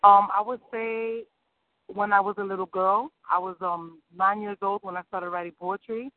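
A woman's voice: mid-range voice, fairly even intonation, moderate pace, dry recording. A woman from New City, answering interview questions in an upbeat, eager way and laughing easily. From New York City. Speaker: singer and rapper guest. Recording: dry, tinny phone-like sound.

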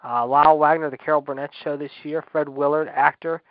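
A man's voice: fairly high pitch, steady tone, brisk, dry recording. A man in his forties hosting a casual radio show, repeating stock phrases and addressing listeners like an emphatic announcer. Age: 40s. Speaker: host. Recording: dull lo-fi internet radio audio.

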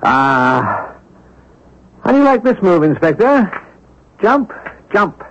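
Glib gentleman thief, middle-aged, higher-pitched male voice, dull radio recording. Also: sing-song, slow, slight background noise, full character voice. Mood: glib, playful. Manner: glib, teasing, unflappable. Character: wily middle-aged career thief, glib and cheerful, newly retired. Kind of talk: 1970s radio drama acting.

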